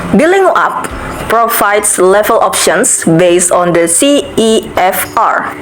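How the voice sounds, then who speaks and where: A young woman from Indonesia, narrating a step-by-step app tutorial in a careful, steady way. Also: mid-range voice, poor audio. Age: young adult. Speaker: student giving an app tutorial. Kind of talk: screen-recorded tutorial voice-over.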